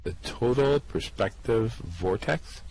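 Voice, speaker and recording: A man's voice: lowish voice, lively intonation, slow pace, darkish tone, breathy edge, heavily acted, rough recording. A man voicing a laid-back, slightly dim male space adventurer who drawls in a slack, easygoing way, in an amateur radio play reading.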